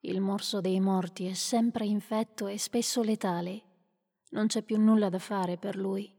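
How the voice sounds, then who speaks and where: A woman playing a calm, ruthless sorceress in a radio drama. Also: medium pitch, unhurried, creaky. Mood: cold. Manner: calm, clinical. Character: female sorceress, cool and ruthless. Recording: long hall reverb.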